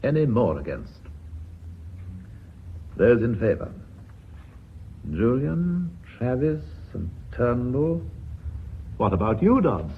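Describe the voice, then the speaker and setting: An actor playing a blunt, authoritative older company chairman in a British radio drama, with an English accent and a formal, deliberate, pompous manner. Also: medium pitch, big pitch swings. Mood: formal, dry. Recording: muffled narrow-band old radio recording, hissy.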